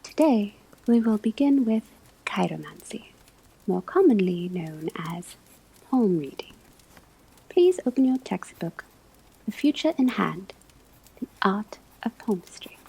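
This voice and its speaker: Woman acting as witch academy professor, very breathy half-whisper, slow measured lecturing, patient, gently stern, ASMR roleplay. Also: medium pitch, big pitch swings, exaggerated voice, low-quality recording. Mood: instructive, measured. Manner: measured, deliberate, formal lecturing.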